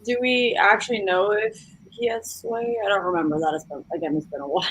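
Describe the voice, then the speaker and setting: A woman chatting casually in a book discussion, speaking in a tentative, halting way. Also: medium pitch, animated, fairly bright tone, husky, poor audio. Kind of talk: casual book club chat.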